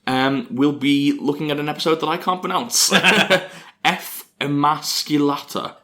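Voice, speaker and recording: A man's voice: a little high, fairly even intonation, unhurried, pushed and bright. A man co-hosting a podcast in casual chat. He talks loosely, repeats his words and laughs often. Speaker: podcast co-host.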